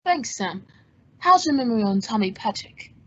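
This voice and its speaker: A woman playing a cool, wry gentleman sleuth in old-time radio style, with an understated, dry wit. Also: fairly high pitch, sing-song, moderate pace, husky. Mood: curious. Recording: dull lo-fi audio.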